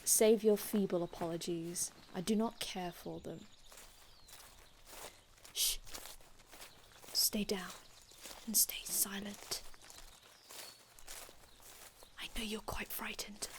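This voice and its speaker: A woman playing an aloof, formal male vampire healer from another time in an ASMR roleplay, speaking in a measured, haughty, hushed manner with archaic phrasing. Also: medium pitch, fairly even intonation. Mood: weary, reassuring, then hushed, urgent. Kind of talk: ASMR roleplay, scripted in-character monologue.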